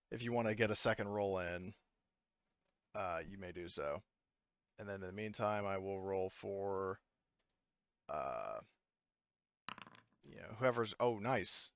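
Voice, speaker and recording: A man with a fairly low, smooth voice works as game master for an online tabletop session. He improvises hesitantly, thinking out loud with casual swearing. The sound is close, dry and a little dull. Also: dry recording.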